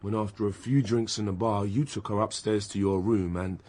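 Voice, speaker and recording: Radio drama role, male actor: younger detective, fairly low voice, sarcastic and pressing, pushes with short sceptical questions. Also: steady tone, moderate pace, darkish tone, close to an everyday voice. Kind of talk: naturalistic radio drama acting.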